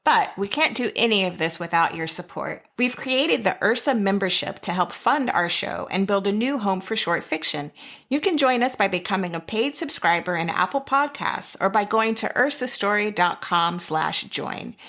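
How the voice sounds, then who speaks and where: A woman's voice: mid-range voice, lively intonation, moderate pace, a bit bright. An American woman co-hosting a podcast, talking about books in an effusive, emphatic way. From Jacksonville, Florida, USA. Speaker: podcast co-host. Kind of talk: casual literary chat.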